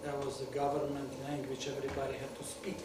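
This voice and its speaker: A man's voice: mid-range voice, monotone, quick pace. Man, echoey hall. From Soviet Union, grew up in Kyiv. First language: Russian. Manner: joking, laughing, broken English. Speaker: guest speaker at a benefit. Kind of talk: informal talk to an audience. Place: lit stage in an arts center room. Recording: echoey hall, distant mic, noisy.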